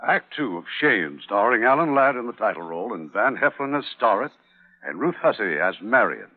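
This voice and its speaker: A man's voice: on the low side, very animated, normal speed, slightly rough. A man hosting and narrating a radio drama in scripted 1950s broadcast style. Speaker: producer and host. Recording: phone-like old radio, music behind.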